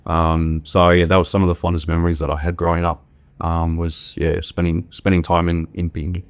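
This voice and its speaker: A man's voice: deep voice, level tone, fairly quick, no echo. A man from Australia, a podcast guest, talking in a reflective, casual way with many fillers and restarts. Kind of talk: casual interview talk.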